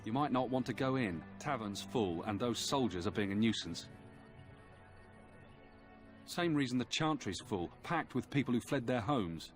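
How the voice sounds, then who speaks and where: A man's voice: medium pitch, lively intonation, normal speed, soft background music, lightly acted. A man playing a disgruntled, plain-spoken villager in a fantasy game, with a folksy, grumbling way of talking. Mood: gloomy, bitter.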